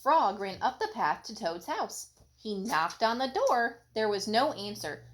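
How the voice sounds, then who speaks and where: A woman's voice: on the high side, sing-song, unhurried. A mother reading a children's story aloud expressively, breaking off for casual questions to her children. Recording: home recording with background noise.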